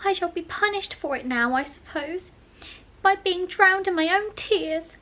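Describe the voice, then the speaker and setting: A woman reads a well-mannered, curious young girl who chatters on eagerly. Her voice sits high and bright. She speaks with a tidy, proper politeness. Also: animated, measured pace, heavily acted. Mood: wistful.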